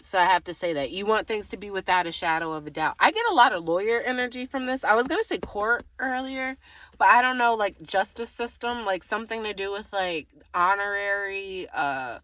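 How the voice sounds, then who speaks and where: A woman's voice: medium pitch, a little fast, a bit bright, no echo. A woman giving a casual, improvised tarot reading to the viewer, thinking aloud in a rambling way, often trailing off and circling back. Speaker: tarot reader.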